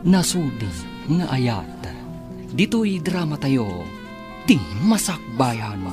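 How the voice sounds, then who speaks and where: A man's voice: fairly high pitch, sing-song, slow pace, over music. A man with a Filipino accent announcing a radio drama in a dramatic scripted style.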